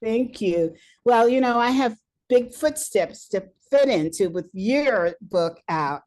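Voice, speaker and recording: A woman's voice: fairly high pitch, lively intonation, takes it slowly, a little mellow. A woman hosting a leadership podcast, who talks with enthusiasm and laughs easily. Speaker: podcast host, leadership coach. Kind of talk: conversational interview.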